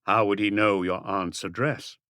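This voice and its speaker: Man playing a terse, low-voiced police detective. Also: fairly even intonation, normal speed, bright, forceful tone, clear, resonant tone, no room sound, clean studio sound. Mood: probing. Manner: terse, persistent questioning. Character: composed, probing male French police detective. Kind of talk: audiobook character dialogue.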